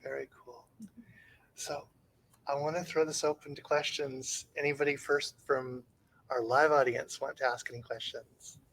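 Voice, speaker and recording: A man's voice: mid-range voice, level tone, normal speed, vocal fry, fairly bright tone, a little hiss or hum, low-quality recording. A man moderating a book panel, chatty and upbeat as he takes questions.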